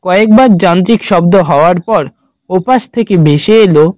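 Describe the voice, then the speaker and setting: A man narrating a scripted horror story in a measured, dramatic storytelling manner. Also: high voice, darkish tone, no echo.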